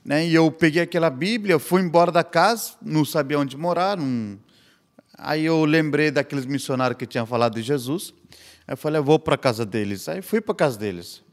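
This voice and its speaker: A man's voice: fairly high pitch, brisk. Indian man telling his story earnestly. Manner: earnest storytelling in simple, slightly broken Portuguese. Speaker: missionary guest. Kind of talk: personal testimony in a podcast chat.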